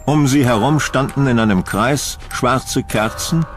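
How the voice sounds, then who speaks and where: A man narrating a scripted horror audio drama in a suspenseful, deliberate storytelling manner. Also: mid-range voice, soft background music.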